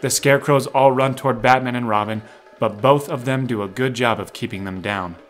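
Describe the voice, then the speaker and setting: A man's voice: medium pitch, a little fast. A man narrating a scripted audio drama in the present tense. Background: music.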